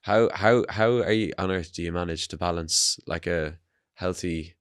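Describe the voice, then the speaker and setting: A man's voice: low voice, normal speed, no echo, studio quality. A man hosting a podcast, asking his guests rambling, friendly questions. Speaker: podcast host. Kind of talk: casual interview.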